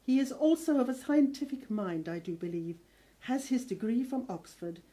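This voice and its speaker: A woman's voice: fairly high pitch, lively intonation, moderate pace, warm tone, lightly acted. A woman playing a kindly, fussing older spinster housekeeper in a radio play. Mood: concerned, insistent. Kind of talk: audio drama acting.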